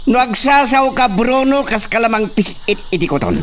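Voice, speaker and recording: Mocking young hacienda boss, radio drama. Northern Philippine accent, highly animated, commanding, recorded at some distance over faint noise. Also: male voice, moderate pace, full character voice. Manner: commanding, taunting.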